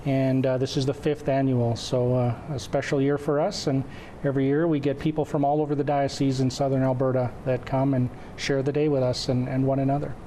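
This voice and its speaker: A man's voice: medium pitch, flat delivery, a little fast, mellow, slight background noise, rough recording. A man in his forties from western Canada whose first language is Croatian, speaking as an event organizer in an informal TV interview. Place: indoor TV studio. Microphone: lapel mic.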